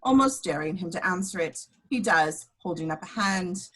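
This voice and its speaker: A woman's voice: on the low side, animated, normal speed, a bit bright. Woman reading screenplay action lines. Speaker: reader of stage directions. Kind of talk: scripted narration at an amateur table read.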